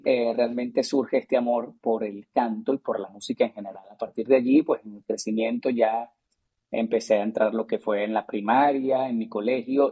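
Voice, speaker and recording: This is a Venezuelan man in his thirties, a singer-songwriter answering an interviewer's questions. His voice is husky and sits at an ordinary pitch for a man. He speaks earnestly and graciously, often repeating words and using fillers as he gathers his thoughts. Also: lo-fi sound. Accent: Venezuelan Spanish. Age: 30s.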